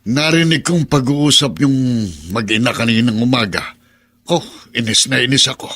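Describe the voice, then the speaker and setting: A man's voice: medium pitch, normal speed, a bit bright, lightly acted. A man playing a stern, grumbling elderly grandfather, crotchety and emphatic, in radio drama style. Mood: indignant, warning. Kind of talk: Filipino radio drama acting.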